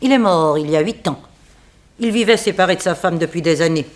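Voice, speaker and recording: An actress playing a composed, proper housekeeper of seventy in a French radio drama, matter-of-fact and formal. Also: female voice, lowish voice, a little fast, natural voice. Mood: frank, matter-of-fact. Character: composed seventy-year-old female housekeeper, frank and proper.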